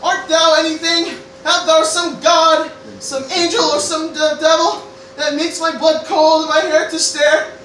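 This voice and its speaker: A woman's voice: very high voice, little pitch movement, unhurried, a bit bright. A woman playing an anxious teenage grandson who declaims stammering Shakespearean lines in a comic stage parody. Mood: frightened. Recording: very echoey room, distant mic, noisy.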